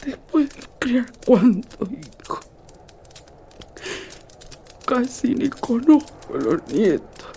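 A woman's voice: fairly high pitch, very animated, takes it slowly, vocal fry, pauses often, mellow, a little hiss or hum, heavily acted. A woman playing a sorrowful, wise old grandmother in a radio drama, plaintive and folksy, with a rural northwestern Argentine accent. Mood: lamenting.

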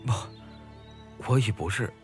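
A man's voice: lowish voice, unhurried, darkish tone, faint music behind, close to an everyday voice. An actor playing a young, upright scholar turned fisherman, reserved and formal, in an audio drama. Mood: uneasy, defensive.

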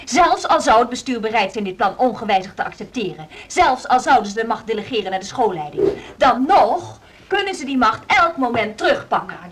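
High-pitched, insistent, earnest woman. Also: sing-song, fairly quick. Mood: passionate, insistent. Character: principled, stubborn female music teacher arguing her minority case. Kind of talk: radio drama acting, speech to a meeting.

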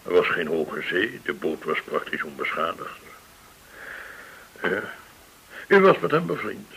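A man's voice: low-pitched, very animated, measured pace, creaky, a bit bright, exaggerated voice, poor audio. An actor in a radio play playing a courteous, shrewd male go-between, formal, learned and insinuating. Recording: hissy old radio recording.

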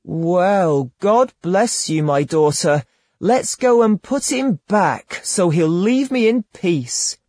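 Reader doing a lustful hermit man, slow, smooth-talking and pious-sounding, in a dry storybook reading. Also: mid-range voice, expressive, darkish tone, no room sound, pitched above the performer's own voice. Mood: coaxing. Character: sly lustful male hermit, coaxing.

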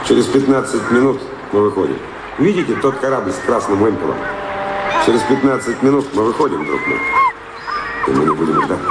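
An actor playing a brisk, commanding seafaring man in a radio play. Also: medium pitch, lively intonation, natural voice. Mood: brisk, urgent. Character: brisk seafaring man recruiting a passenger in port. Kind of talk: Russian radio play acting. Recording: reverberant radio drama with noisy harbour ambience.

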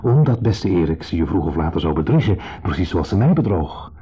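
An actor playing a shabby, self-pitying ex-husband who talks with deliberate, quiet menace in a radio drama. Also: male voice, sits low, very animated, breathy edge, full character voice. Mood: smooth, insinuating. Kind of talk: 1970s radio drama acting. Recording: dull 1970s radio play recording.

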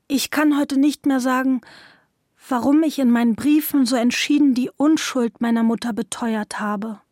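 A woman's voice: sits high, steady tone, moderate pace, a little mellow, no echo, clean studio sound, natural voice. A woman playing a resolute young daughter giving formal testimony in a radio documentary. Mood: firm, formal.

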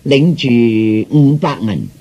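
A man playing a scheming, flattering royal adviser in a comic audio story, speaking formally and ingratiatingly like an orator. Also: mid-range voice, slow, husky, lo-fi sound, full character voice. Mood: grand, persuasive. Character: scheming, flattering male military adviser to emperor.

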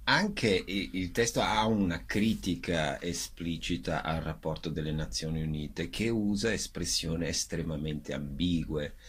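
A man speaking as a theatre director in a radio interview, explaining in a halting way as he searches for words. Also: low-pitched, husky.

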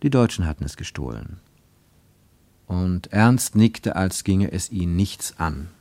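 A man narrating a radio drama in a measured, deliberate storytelling manner, recorded in a studio. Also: sits low, lively intonation, darkish tone, no room sound. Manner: measured, deliberate storytelling with slowed emphatic phrases.

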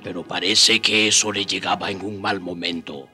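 A man's voice: sits low, fairly even intonation, normal speed, bright, forceful tone. A man playing the solemn storyteller of a historical radio drama, with scripted, dramatic narration. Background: music.

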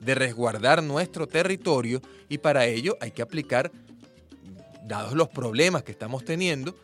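Venezuelan radio host guy, calm and earnest, faint music under him. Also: mid-range voice. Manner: measured, earnest, speaks in full sentences. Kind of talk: radio talk commentary.

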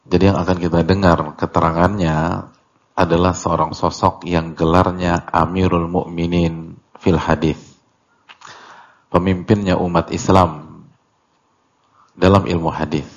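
Very deep, gravelly male religious teacher. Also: flat delivery, unhurried, long pauses, dull audio. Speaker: Islamic teacher leading a religious study class. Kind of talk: religious lecture explaining a classical text.

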